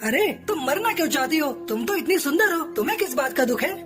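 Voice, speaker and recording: Woman as high-voiced eager male crow. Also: sing-song, fast. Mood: concerned. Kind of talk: cartoon dubbing. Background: music.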